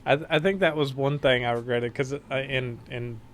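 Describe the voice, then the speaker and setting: A man chatting as a podcast guest in an easygoing, relaxed way, with frequent ums and uhs. His pitch sits in an ordinary middle range. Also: moderate pace. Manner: relaxed gamer talk with fillers. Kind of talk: casual chat.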